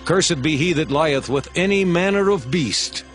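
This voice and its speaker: An actor playing a Levite priest who sternly proclaims curses before a crowd, in a dramatized scripture reading. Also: male voice, fairly high pitch, unhurried, natural voice. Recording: music bed beneath voice.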